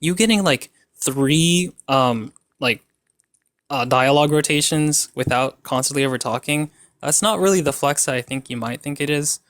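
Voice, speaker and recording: A man's voice: on the high side, normal speed, a bit bright, dry recording. Man, online debater, mocking, laid-back slang, dry close sound. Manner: mocking, casual, lots of fillers. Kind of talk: online debate trash talk.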